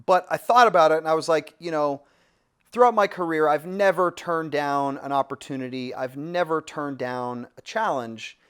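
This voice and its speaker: A man's voice: a little high, normal speed, smooth. A man, a music producer, casually telling a studio story with lots of fillers and restarts. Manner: relaxed, rambling with many fillers and restarts.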